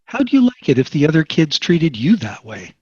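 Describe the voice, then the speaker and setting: A well-meaning, slightly nerdy sitcom dad, read by a man, easily miffed. The husky voice swings in pitch and comes through slightly dull online-call audio. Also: huge pitch swings, moderate pace, darkish tone, heavily acted. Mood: gently scolding. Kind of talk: amateur table read of a TV sitcom.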